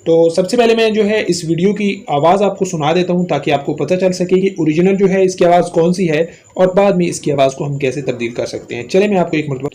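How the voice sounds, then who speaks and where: Male tutorial host, Pakistani accent, high-pitched voice, walking viewers through app steps. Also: a little fast, few pauses, warm tone. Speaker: host of a tech tutorial channel. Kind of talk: scripted-style phone app tutorial narration.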